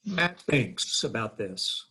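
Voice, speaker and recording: A man's voice: medium pitch, very animated, slow pace, bright, forceful tone. A man in his thirties narrating stage directions at a table read, from home over a video call. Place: living room, video call.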